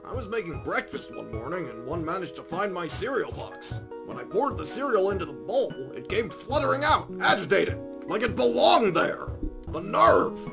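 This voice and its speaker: A man voicing a nerdy, easily indignant older scientist adventurer in a game, with a gravelly, pedantic delivery. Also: high voice, big pitch swings, music in the background, exaggerated voice. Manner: pedantic, halting storyteller. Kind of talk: visual novel fan voice acting.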